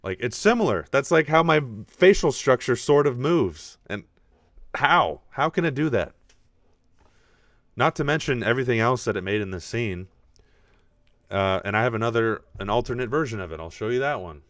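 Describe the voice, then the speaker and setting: A man with a deep voice hosting a live podcast, talking casually and animatedly, thinking out loud with frequent restarts. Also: animated, moderate pace, no room sound, studio quality. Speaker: podcast host.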